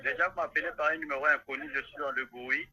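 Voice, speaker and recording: A man's voice: fairly high pitch, steady tone, fast talker, sharp tone, husky. A man from Congo answering briefly over a muffled, noisy phone line. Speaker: person receiving a phone call.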